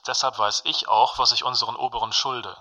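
An actor playing a smug turncoat singer-poet in a radio play, glib and self-justifying. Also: male voice, mid-range voice, steady tone, fairly quick, sharp tone.

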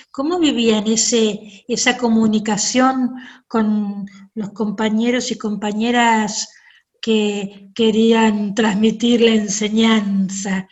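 A Rioplatense woman hosts a radio interview, asking gentle, searching questions at a slow, unhurried pace. Her fairly high voice comes through a dull, lo-fi sound. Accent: Rioplatense Spanish. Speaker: radio host.

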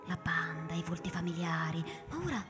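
A woman narrating a radio story in an intense, theatrical way, moving from hushed to loud. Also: low voice, steady tone, fairly quick. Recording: reverberant hall, faint music behind.

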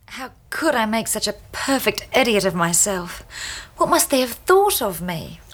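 An actress playing an unpretentious, impressionable young wife in a radio drama, earnest and emotional in manner. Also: female voice, medium pitch, sing-song, a little slow, fairly bright tone. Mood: anxious, ashamed. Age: young adult. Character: impressionable, anxious young married woman, unpretentious.

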